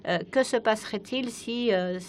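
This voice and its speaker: A woman giving simultaneous interpretation of speeches at a parliamentary conference, often pausing with hesitant fillers. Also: on the high side, a little hiss or hum. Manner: frequent hesitant fillers while keeping up with speakers.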